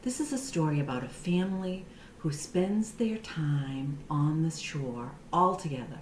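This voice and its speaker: A woman with a low-pitched voice reads a picture book to young children. She takes her time, at a very unhurried pace. Her phrasing is deliberate and expressive, in the manner of a storytime teacher. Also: slight background noise.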